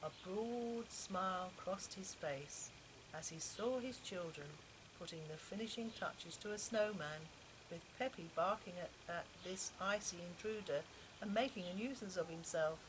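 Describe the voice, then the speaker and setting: An older woman reading a children's story aloud in an expressive, heartfelt storytelling manner. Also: lowish voice, measured pace. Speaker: author reading her own children's stories. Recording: noisy home-style recording, constant background noise.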